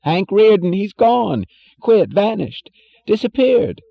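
Guy putting on a shrill freaked-out exec, whiny and frantic, voice way up high, kinda muffled audio. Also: very animated, takes it slowly, vocal fry, no echo, exaggerated voice. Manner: shrill, frantic, pleading outbursts. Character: panicked, whining middle-aged male executive.

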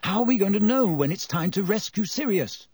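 A tense, determined teenage boy in an audiobook, read by a man close to his own husky voice. He speaks quietly and earnestly. The sound is dry and close. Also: high voice, lively intonation, fairly quick, dry recording, pitched above the performer's own voice.

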